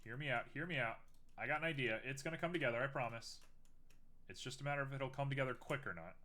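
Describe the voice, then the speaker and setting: A man's voice: mid-range voice, quick pace, pushed and bright. A young man streaming live while making art, chatting casually and teasing himself as he works. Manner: chatty, self-mocking, thinks aloud while working.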